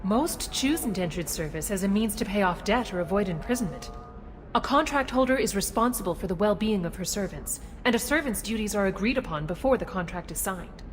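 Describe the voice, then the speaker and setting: A woman's voice: mid-range voice, expressive, faint music behind, natural voice. A woman as a courteous alien concierge, long reverb. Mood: courteous, informative. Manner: polite, formal, measured official courtesy. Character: courteous female alien concierge of a trade city. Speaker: city guide. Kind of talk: scripted video game dialogue. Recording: long reverberant hall, some background noise.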